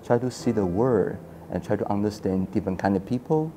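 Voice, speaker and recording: A man's voice: lowish voice, brisk, mellow, a little hiss or hum. A man in his forties from Taiwan, an architecture historian telling his story in a casual interview, acting out quoted dialogue and laughing often. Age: 40s.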